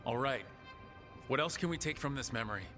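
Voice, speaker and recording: A man's voice: medium pitch, expressive, a little fast, close to an everyday voice. A man playing a pragmatic adventuring leader in a video game, speaking in a casual, direct way. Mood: matter-of-fact. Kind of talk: naturalistic video game dialogue. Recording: music behind the voice.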